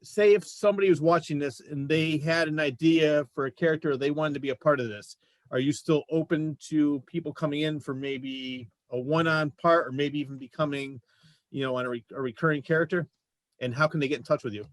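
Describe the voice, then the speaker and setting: Man, talk show host, slightly high voice, chatty, joking, close dry sound. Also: steady tone, a little fast, no echo. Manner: jokey, chatty. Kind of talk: casual interview chat.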